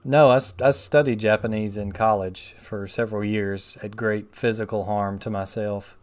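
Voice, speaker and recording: A man's voice: lowish voice, fairly even intonation, moderate pace. Chatty man streaming a game. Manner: chatty, rambling asides while playing. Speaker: gaming streamer. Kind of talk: casual let's play chat.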